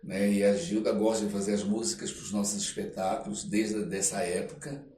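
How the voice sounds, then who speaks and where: A man's voice: on the low side, steady tone, fairly quick, warm tone. A Brazilian man chatting as a podcast guest, telling stories in a reflective, rambling way with frequent checking tags. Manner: rambling, reflective, often ending phrases with a checking tag. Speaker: interview guest, performing artist. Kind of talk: casual storytelling in a podcast interview. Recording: echoey room with faint noise.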